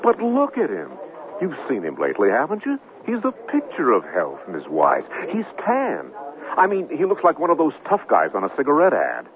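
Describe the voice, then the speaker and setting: An actor playing an earnest, callow newsroom underling in a 1970s radio drama, hesitant, pleading and excitable. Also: male voice, fairly high pitch, normal speed, crowd noise. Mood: flustered, insistent. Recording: tinny, hissy 1970s radio broadcast.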